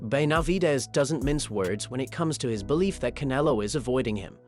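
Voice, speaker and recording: A man reading scripted sports news narration in an even, steady way. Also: medium pitch, a little fast, faint music behind.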